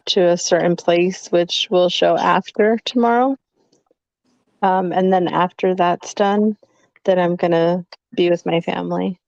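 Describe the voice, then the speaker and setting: A woman in her late thirties co-hosts a casual livestream, talking slowly and easily and laughing often. Her voice sounds dry and slightly dull. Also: fairly low pitch, gravelly, darkish tone, dry recording. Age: 30s. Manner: laughs often, easygoing, unhurried. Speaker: livestream co-host.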